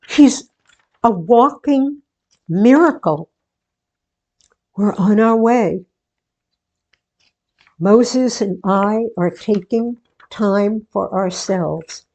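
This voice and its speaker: A woman playing a reflective, gentle radio host who confides in listeners in a musing, intimate, deliberate way, in a radio theatre monologue. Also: medium pitch. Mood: elated, then tender farewell.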